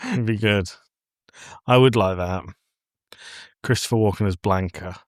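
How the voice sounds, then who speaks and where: Lowish-voiced man bantering on a podcast. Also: unhurried, dry recording, studio quality. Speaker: podcast co-host. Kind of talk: casual bantering chat.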